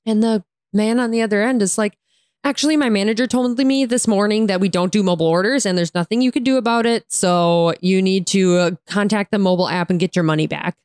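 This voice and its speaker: A woman with an American accent and a hoarse, raspy voice, casually telling a story in slangy, sarcastic, exasperated style and mimicking dialogue. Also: mid-range voice, quick pace, darkish tone, no echo, studio quality. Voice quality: raspy, tired voice. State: hoarse from talking all day. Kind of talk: casual chat, animated storytelling.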